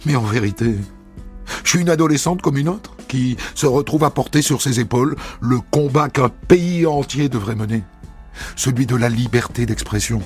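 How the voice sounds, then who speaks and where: A man telling a first-person story as a narrator, in a measured, intimate reading. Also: medium pitch. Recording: dry studio voice over soft music.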